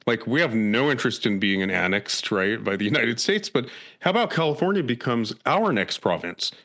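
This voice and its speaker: A middle-aged man hosting a podcast, losing his voice and talking in an animated, joking, tongue-in-cheek way. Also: medium pitch, brisk. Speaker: podcast host. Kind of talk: casual conversation.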